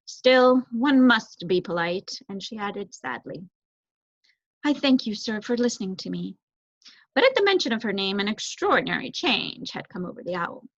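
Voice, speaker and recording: Young lady narrating a kids book, mid pitch, close dry room, kinda dull lo-fi audio. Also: dry recording. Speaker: storybook reader, student. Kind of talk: scripted children's story narration.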